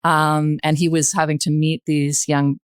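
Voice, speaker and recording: An older woman with a Canadian accent, a guest speaking reflectively in a podcast interview, hesitant and thoughtful, often pausing mid-sentence. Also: deep voice, level tone, no echo, clean studio sound. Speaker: podcast guest, retired journalist.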